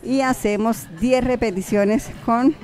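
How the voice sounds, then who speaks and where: A woman's voice: on the high side, steady tone, measured pace, darkish tone. Woman fitness instructor over background music. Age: young adult. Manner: encouraging, chatty, fond of diminutives.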